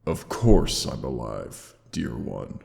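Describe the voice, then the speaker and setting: Casting: a loving, protective dragon for audio roleplay; rumbling bottom-end pitch, slow archaic tenderness. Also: male voice, sing-song, darkish tone, heavily acted. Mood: gentle, reflective. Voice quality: very deep, gravelly. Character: caring old-world male dragon, tender protective lover. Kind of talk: intimate audio roleplay acting. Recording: long cavernous reverb.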